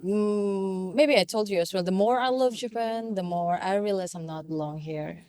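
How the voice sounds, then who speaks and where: A woman's voice: mid-range voice, moderate pace, smooth, no echo. Woman telling stories in English. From Indonesia. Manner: animated storytelling, acts out quoted exchanges; non-native grammar, searching for words. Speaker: podcast guest. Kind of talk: casual chat.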